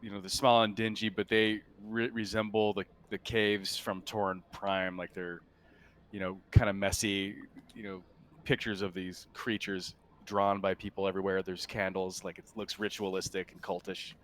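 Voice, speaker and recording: A man narrating an improvised tabletop roleplaying game as game master, in casual, halting phrases. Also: low voice, little pitch movement, a bit bright.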